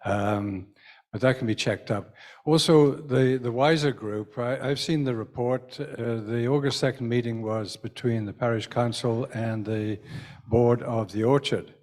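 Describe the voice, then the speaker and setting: A man's voice: medium pitch, steady tone, a little slow, darkish tone. A man speaking from the floor at a church meeting, wry and well-informed as he raises a zoning point. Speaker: parishioner asking a question. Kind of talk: public comment at a parish meeting.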